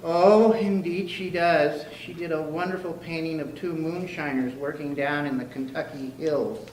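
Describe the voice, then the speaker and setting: A man's voice: a little high, fairly even intonation, a little mellow, lightly acted. A man with an American accent playing a meek, polite, henpecked middle-aged husband in an old-time radio comedy. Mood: mildly proud. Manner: polite, mild, slow folksy delivery. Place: clubhouse hall, live performance. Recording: echoey clubhouse, distant mic, clear noise.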